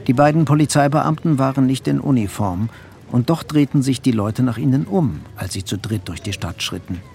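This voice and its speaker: A sober male storyteller tells a bleak radio tale. His voice is creaky and mid-pitched, with measured, detached delivery. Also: animated, warm tone. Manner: measured, matter-of-fact. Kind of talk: scripted literary narration in radio drama. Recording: faint music behind the voice.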